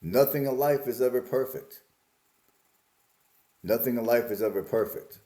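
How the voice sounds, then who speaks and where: A man's voice: mid-range voice, moderate pace, dark tone, echoey room. A man in his forties from Alabama, musing aloud in a casual, plain-spoken vlog about his life. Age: 40s. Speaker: vlogger. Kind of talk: casual reflective monologue.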